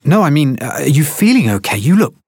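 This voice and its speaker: An actor playing a friendly, concerned lab scientist in an audio drama, speaking a little tentatively. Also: male voice, fairly high pitch, big pitch swings, brisk, a little mellow, breathy edge, heavily acted.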